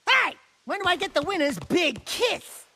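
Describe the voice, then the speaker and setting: A man's voice: extremely high pitch, sing-song, sharp tone, full character voice. A man voicing a cocky, wisecracking small furry animal sidekick in a video game cartoon, boastful and theatrical. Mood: cocky, excited. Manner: boastful, fast-talking, theatrical.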